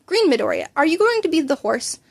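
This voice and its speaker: A woman voicing a brisk adult female teacher and referee, explaining things in an official manner in a storybook reading. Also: sits high, big pitch swings, no room sound, voice pushed up. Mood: businesslike.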